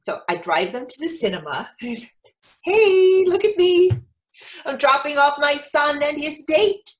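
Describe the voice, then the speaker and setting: A woman playing an anxious, overeager mother of a teenager in an animated theatrical monologue, breaking into quoted dialogue. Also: high voice, a little slow. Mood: excited. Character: anxious, overeager mother of a teenage son, telling her story. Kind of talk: theatrical monologue, staged reading.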